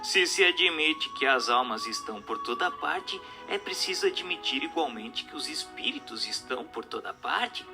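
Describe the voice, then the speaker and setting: A man's voice: fairly high pitch, animated, moderate pace, few pauses. A Brazilian man reading a spiritual text aloud as an audiobook narrator, in a declamatory, didactic and emphatic manner. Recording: narration over music.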